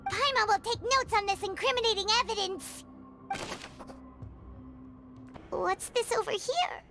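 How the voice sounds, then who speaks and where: Squeaky tiny fairy girl, very high. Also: moderate pace. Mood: curious, indignant. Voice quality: squeaky, childlike. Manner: third-person self-reference, exclamatory, expressive. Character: tiny floating fairy companion girl, excitable, childlike, easily frightened. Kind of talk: animated video game voice acting. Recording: music behind the voice.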